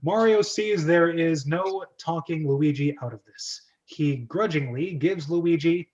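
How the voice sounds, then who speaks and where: A man's voice: fairly high pitch, unhurried, a little mellow, lo-fi sound. A man hosting and narrating an amateur script reading in a playful, chatty, self-interrupting way, with casual commentary.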